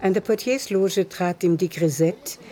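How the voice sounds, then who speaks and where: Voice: mid-range voice, a little slow, warm tone, faint music behind, close to an everyday voice. A performer as a composed literary narrator, reading scripted narration in a radio drama. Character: composed literary narrator of a nineteenth-century novel.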